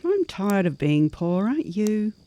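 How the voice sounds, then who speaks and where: A woman's voice: mid-range voice, big pitch swings, a little slow, mellow. A woman playing a practical, upbeat superhuman monster adventurer in an audio horror-comedy, with a folksy, coaxing manner. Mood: eager, persuasive. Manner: folksy, plain-spoken, coaxing.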